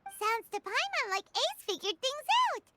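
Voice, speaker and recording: A woman voicing a tiny, childlike, eager and cheeky fairy companion in a video game, squeaky and bubbly. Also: sing-song, moderate pace. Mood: curious. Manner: squeaky, bubbly, refers to self in third person. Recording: loud music under the voice.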